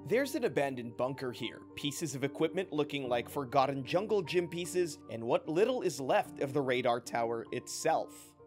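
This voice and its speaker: Male voice-over, middle pitch, steady scripted delivery with punchy countdown headings, faint music underneath. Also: moderate pace, hardly any pauses. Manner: emphatic on countdown headings, upbeat and even. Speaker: narrator of a countdown video. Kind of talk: scripted documentary narration. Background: soft background music.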